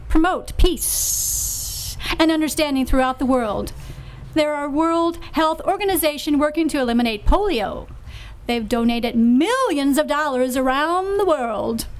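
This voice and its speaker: High-voiced woman reading an ad. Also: slight background noise. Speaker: sponsor ad reader. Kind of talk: read-aloud radio commercial.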